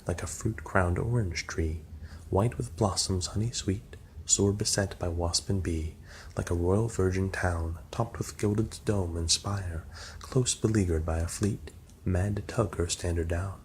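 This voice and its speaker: A man's voice: low-pitched, fairly even intonation, a little slow, a little mellow. A man narrating a poem aloud as an ASMR reading. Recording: clear background noise.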